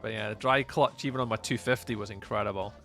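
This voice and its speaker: Scottish male streamer, gravelly and bright, chatty, rambling, lots of ums. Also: fairly low pitch, steady tone, quick pace, faint music behind. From Scotland. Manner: rambling and chatty, many fillers and restarts. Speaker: live streamer. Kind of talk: casual chat with live chat while gaming.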